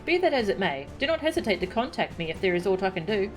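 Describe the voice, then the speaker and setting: A woman's voice: mid-range voice, big pitch swings, fast talker, over music, lightly acted. A woman reading out the polite, formal lines of a male military marshal in a game. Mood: courteous. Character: courteous military marshal, a man. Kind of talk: reading game dialogue aloud.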